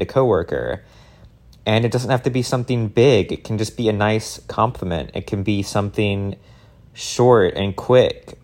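A man speaking as a motivational speaker in an informal, earnest and encouraging talk straight to his audience. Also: lowish voice.

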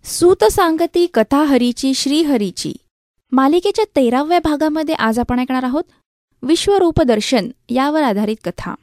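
A woman's voice: sits high, lively intonation, normal speed, a little mellow, no room sound, studio quality. A woman announces a radio series from a script.

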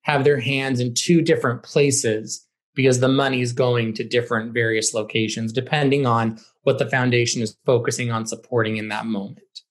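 A man's voice: mid-range voice, fairly even intonation, moderate pace. A man, a theater director, giving conversational explanatory answers as an interview guest. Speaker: high school theater director, podcast guest.

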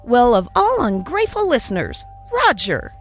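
A woman voicing a kindly older male country doctor who speaks gently and fondly. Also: on the high side, huge pitch swings, soft background music. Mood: fond scolding. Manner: gentle, fond, slow.

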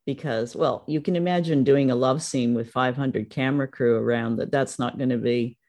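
A woman's voice: rumbling low, moderate pace, darkish tone. A woman hosting an interview in an encouraging, affirming way. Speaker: interview host. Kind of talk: casual interview chat.

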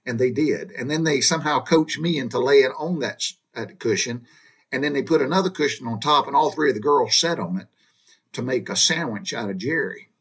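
A Southern man from Georgia spins tales from his boyhood in a loose, folksy drawl, circling back and restarting his sentences as he goes. His voice sits at an ordinary middle pitch for a man, easygoing and conversational, like someone talking straight to listeners who have heard his earlier stories. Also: brisk, fairly bright tone, slightly rough. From Georgia, USA. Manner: folksy, meandering, with frequent restarts and asides. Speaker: storyteller recounting his childhood. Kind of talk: casual spoken storytelling.

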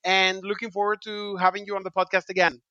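A man from Greece with a Greek accent, hosting a podcast interview in a halting way with many restarts. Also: sits high, level tone, bright, forceful tone, no echo, dull audio. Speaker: podcast host. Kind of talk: casual interview chat.

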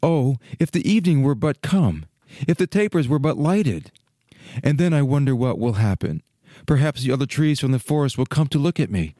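A man's voice: medium pitch, expressive, moderate pace, warm tone, no room sound, studio quality, natural voice. A man playing a young fir tree in a storybook, restless and yearning, speaking in earnest exclamations and questions. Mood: excited anticipation. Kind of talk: dramatic storybook reading.

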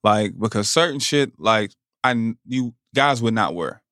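A man's voice: fairly low pitch, expressive, unhurried, fairly bright tone, no room sound, clean studio sound. A man hosting a podcast in casual chat, riffing in a slangy, joking way. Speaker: podcast host.

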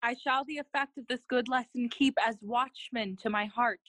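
A woman playing a dutiful, earnest young noblewoman in a classical Shakespeare audio play, speaking formal verse in measured phrases. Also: a little high, steady tone, a bit bright, dry recording, lightly acted. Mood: gently teasing.

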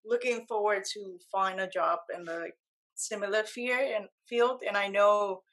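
A woman's voice: medium pitch, fairly even intonation, a little slow, fairly bright tone. Young woman with a Puerto Rican accent. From Puerto Rico. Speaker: guest, photographer and motion graphic designer. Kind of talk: casual interview answers.

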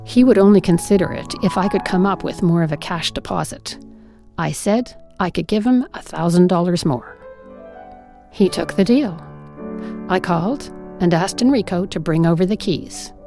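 A woman reading a first-person story aloud in an expressive, wry, dramatic way. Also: on the low side, moderate pace, soft background music.

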